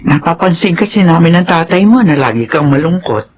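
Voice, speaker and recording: Older Filipina mother voiced by an actress, caring and fretful, very lively intonation, radio-drama delivery. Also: lowish voice, a little fast, highs cut off, slight background noise, close to an everyday voice. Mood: worried, alarmed.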